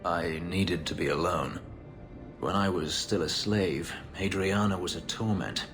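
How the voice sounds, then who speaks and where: A man's voice: low-pitched, measured pace. An actor playing a bitter elven male ex-slave warrior in a video game, grave and clipped. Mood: remorseful. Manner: grave, clipped, controlled intensity. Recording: game audio with reverb and music.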